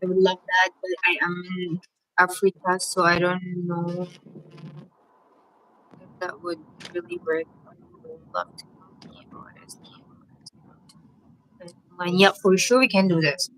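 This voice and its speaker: A woman's voice: on the low side, flat delivery, measured pace, pauses often, fairly bright tone, low-quality recording. A woman from Egypt hosting a casual live-stream Q&A, chatting and reading viewers' comments aloud with lots of fillers. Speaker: vlog host.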